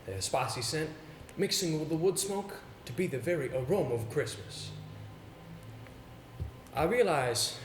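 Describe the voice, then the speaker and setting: A male stage actor playing a haunted, earnest gentleman, telling his tale with deliberate, dramatic phrasing and halting repetitions. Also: on the high side, expressive, music in the background. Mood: wistful, uneasy. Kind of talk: theatrical stage monologue and dialogue. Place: indoor theatre stage, recorded live. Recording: echoey stage recording with background noise.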